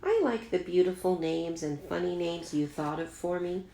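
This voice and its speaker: A woman's voice: on the low side, moderate pace, gravelly, mellow, slight background noise. A woman voicing a polite, earnest young immigrant schoolgirl in a storybook reading. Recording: roomy, echoey home recording.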